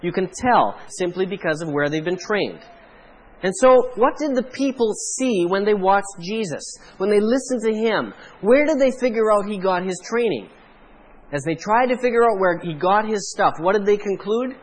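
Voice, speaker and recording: Canadian pastor preaching, high voice. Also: male voice, lively intonation, poor audio. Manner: measured preaching with emphatic pauses. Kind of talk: sermon.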